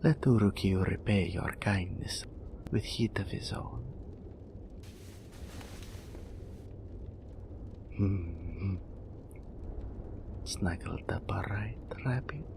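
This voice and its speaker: A man voicing a proud, mystical male naga oracle in an intimate roleplay audio drama, breathy and deliberate, referring to himself in the third person. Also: background noise, heavily acted. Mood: tender, teasing. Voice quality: breathy, whispery, gravelly low voice.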